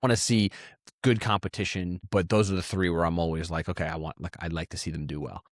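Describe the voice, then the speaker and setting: Deep-voiced man with Italian roots, dry sound. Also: fast, no room sound. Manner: animated, thinks aloud with restarts. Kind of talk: casual chat.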